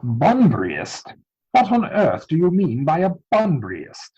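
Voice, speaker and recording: A man playing a proper, earnest young English gentleman, evasive and easily exasperated, speaking formally in a dramatic reading of a Victorian stage comedy. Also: fairly high pitch, huge pitch swings, measured pace, husky, lo-fi sound, full character voice. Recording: home recording over video call.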